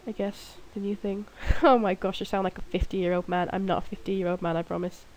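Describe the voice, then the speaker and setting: A woman's voice: medium pitch, level tone, brisk, darkish tone. Bunged-up young woman rambling over gameplay. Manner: rambling, self-mocking, giggly. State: blocked nose from a cold. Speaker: gaming video creator. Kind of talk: casual gameplay commentary. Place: room at home, alone at night.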